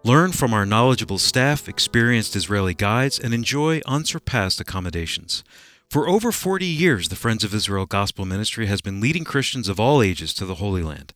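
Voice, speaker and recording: A man's voice: fairly low pitch, expressive, brisk, a bit bright, dry recording. An American man reading scripted promotional narration as a radio announcer. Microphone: close studio microphone.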